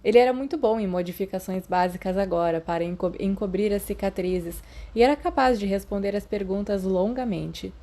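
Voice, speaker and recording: A woman's voice: mid-range voice, a little fast, hardly any pauses, mellow. A woman with a Brazilian accent narrating a story from a script as an audiobook reader, with the odd small stumble.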